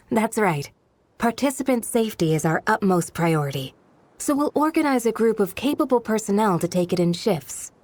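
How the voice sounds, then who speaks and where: A woman playing a composed, responsible guard and coordinator in a video game, speaking in a measured, polite, gently chiding manner. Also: mid-range voice, expressive, natural voice. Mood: reassuring.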